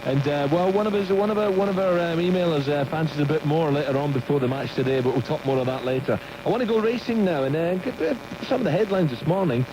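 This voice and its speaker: A man's voice: fairly high pitch, fast talker, few pauses. A man hosting a radio show in a casual, rambling style, full of jokey teasing. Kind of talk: casual breakfast radio banter. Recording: noisy radio broadcast capture.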